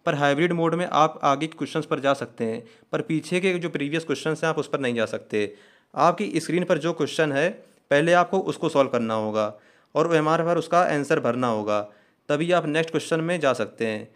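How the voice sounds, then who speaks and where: A man presenting an exam news update, explaining each point methodically, step by step. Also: on the high side. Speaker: host of an exam news channel.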